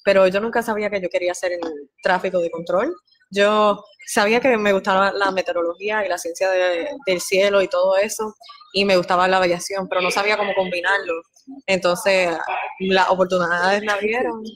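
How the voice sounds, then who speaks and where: A woman's voice: medium pitch, steady tone, fairly quick. A young woman, an air traffic controller guest chatting casually and slipping in English words. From born in Guatemala, raised in Puerto Rico. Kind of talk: casual interview chat.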